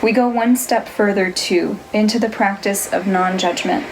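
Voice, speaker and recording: A woman leading a guided meditation, giving gentle, unhurried instructions in a soothing manner. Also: mid-range voice, steady tone, background noise. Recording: music behind the voice. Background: music.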